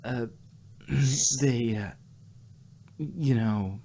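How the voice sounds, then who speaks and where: A woman's voice: extremely low pitch, drawn-out words, dark tone, heavily acted. A woman voicing a flustered, exasperated young female pony scholar in a fan fiction reading.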